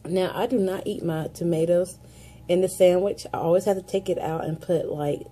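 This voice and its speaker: A woman's voice: fairly low pitch, steady tone, normal speed, dark tone, slightly rough. A woman in her forties with a stuffy head cold, chatting to the camera in a casual daily vlog, filmed at arm's length. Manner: chatty, laughs easily, talks to camera. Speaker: vlogger. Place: office, car and home, indoors. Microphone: phone or webcam at arm's length.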